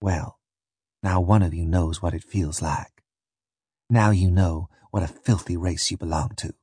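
A young enslaved woman, voiced by a male audiobook reader, speaks in a soft, husky voice. She is scornful and worn down, sneering with bitter resignation. The sound is close and dry. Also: lowish voice, lively intonation, takes it slowly, no echo, full character voice. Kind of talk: audiobook character voice.

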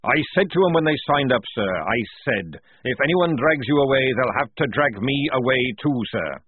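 A man plays a gruff old sergeant who barks every line in a rough, forceful roar. The voice is husky. It sounds dry and tinny, like a phone line. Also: medium pitch, animated, normal speed, dry recording, voice pushed up. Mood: roaring, defiant. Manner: barking, forceful, rough speech. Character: gruff loud old male army sergeant.

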